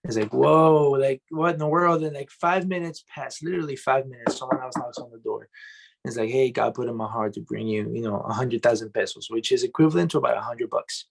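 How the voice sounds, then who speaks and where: A young adult man from Colombia, a pastor and music minister, telling stories in a loose, animated way on an interview show. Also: medium pitch, a little fast, vocal fry. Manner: loose and animated, says "man" and "bro" a lot. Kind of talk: casual interview storytelling.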